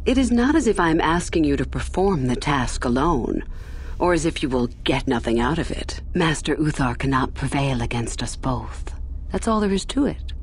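A woman playing a scheming, ambitious Sith teacher in a video game, with a measured, insinuating, formal manner. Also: low voice, sing-song, slight background noise. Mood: persuasive. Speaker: academy teacher. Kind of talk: scripted video game acting.

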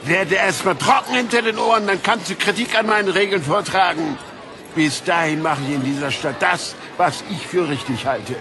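A man's voice: high voice, moderate pace. A man playing a stern, domineering captain of the guard, haughty and scolding, in a German audio drama. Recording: noisy outdoor crowd ambience.

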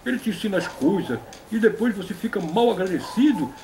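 A man puts on a boastful old grandpa puppet with a very high voice. He is folksy and pompous, lecturing like a veteran of stage and war in a comedy sketch, with faint noise behind. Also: big pitch swings, fairly quick, darkish tone. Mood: emphatic. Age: older. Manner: pompous, folksy, repeats a stock affirmation. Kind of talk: comic puppet interview.

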